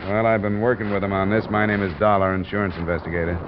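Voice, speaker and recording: An American actor playing a hard-boiled insurance investigator in a vintage radio drama, dry, clipped and matter-of-fact. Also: male voice, on the low side, steady tone, fast, background noise, close to an everyday voice. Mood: brisk, assertive. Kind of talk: 1940s-50s radio detective acting and narration. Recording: muffled old radio recording with music.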